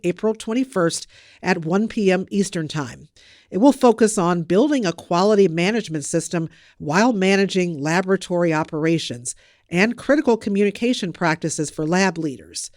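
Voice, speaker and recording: Podcast news presenter, medium-pitched, scripted delivery, very dry close recording. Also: dry recording.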